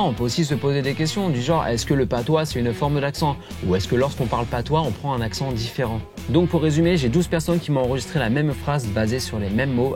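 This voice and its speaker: Fast-talking man over guitar. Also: mid-range voice. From Var, southern France. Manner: chatty, imitates how others pronounce words. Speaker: video creator. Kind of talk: casual explanatory talk to viewers.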